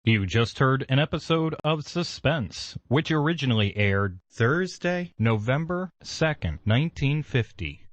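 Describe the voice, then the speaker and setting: Slow, animated male station announcer. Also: medium pitch, dry recording. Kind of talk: scripted station continuity.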